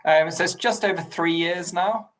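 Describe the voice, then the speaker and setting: A man's voice: high-pitched, fairly even intonation, normal speed, fairly bright tone. A middle-aged man from England, a podcast guest, talking politely and modestly with many ums and small laughs. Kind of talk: casual interview chat.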